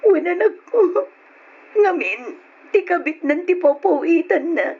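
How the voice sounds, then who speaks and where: A woman's voice: very high-pitched, expressive, a little slow, exaggerated voice. A woman playing a wronged, protective mother in old radio drama style, pleading and emotional, on a muffled recording. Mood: sorrowful, gentle.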